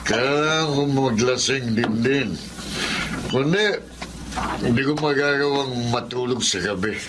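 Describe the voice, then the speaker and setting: A man's voice: medium pitch, a little slow, heavy background noise, natural voice. A man with a Filipino accent acting an anguished, grieving seaman husband in a radio drama, pleading dramatically. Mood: drunken bitterness. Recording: loud dramatic music underneath.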